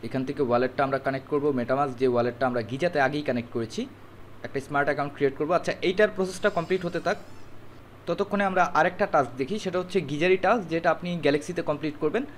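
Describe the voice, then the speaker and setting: A man's voice: fairly high pitch, very fast, slight background noise. A man narrating a screen tutorial step by step, instructive and mixing in English words. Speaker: tutorial presenter.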